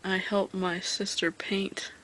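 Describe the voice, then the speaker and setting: A woman's voice: mid-range voice, little pitch movement, slow, a bit bright, a little hiss or hum, poor audio. A woman vlogging at home to her camera, stuttering and stopping mid-sentence to think. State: tired. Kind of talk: casual talk to camera. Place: at home indoors.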